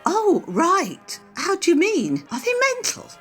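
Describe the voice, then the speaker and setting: A woman playing a blunt, slow-witted female minder with a husky voice in an amateur radio play. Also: very high-pitched, wildly swooping pitch, a bit bright, faint music behind, exaggerated voice. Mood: baffled, blunt.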